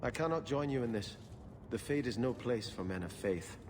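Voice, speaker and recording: An actor playing a principled, pious companion in a video game, formal and earnest. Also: male voice, mid-range voice, lively intonation, moderate pace, darkish tone, lightly acted. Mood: firm refusal. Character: pious, principled male man of faith. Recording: long hall reverb, faint noise.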